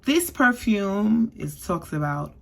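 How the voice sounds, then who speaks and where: A woman's voice: medium pitch, big pitch swings, slow, gravelly. A young woman from the East Coast giving a chatty, sassy perfume review, often checking in with "okay?". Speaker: beauty vlogger.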